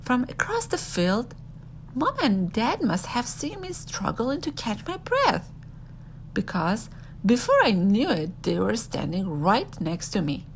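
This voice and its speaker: A woman reading a first-person children's story aloud in an expressive, animated storytelling manner. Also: on the high side, measured pace, slight background noise, poor audio.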